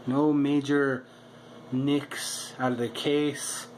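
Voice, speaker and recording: A man's voice: medium pitch, flat delivery, extremely slow, a little mellow, slight background noise, poor audio. A man narrating a hobby project vlog in a casual, hesitant way, often stopping and repeating his words as he goes. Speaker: hobbyist video maker.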